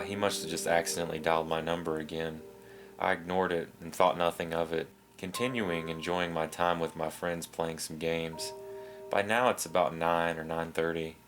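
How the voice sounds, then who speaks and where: Male horror narrator, notably deep, measured scripted storytelling over a prominent musical bed. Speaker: narrator of creepy stories. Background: guitar music.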